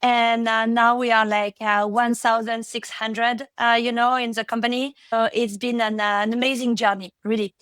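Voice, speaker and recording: A woman's voice: on the high side, level tone, moderate pace, hardly any pauses, a bit bright, smooth, dry recording, clean studio sound. A woman in her thirties with a French accent, talking casually as a podcast guest, with many fillers and restarts. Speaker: podcast guest, product executive. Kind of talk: casual interview talk.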